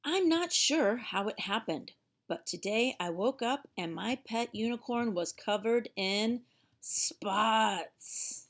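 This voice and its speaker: Slow-paced woman reading a children's storybook. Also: on the high side, lively intonation. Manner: theatrical, with drawn-out emphasized words. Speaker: children's storybook read-aloud reader. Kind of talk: expressive storybook narration for young children.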